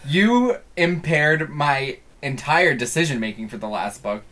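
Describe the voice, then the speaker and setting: A man's voice: a little high, lively intonation, a bit bright. Teasing man laying down podcast rules. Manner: teasing, deliberate rule-setting. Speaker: podcast co-host. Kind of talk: casual podcast banter.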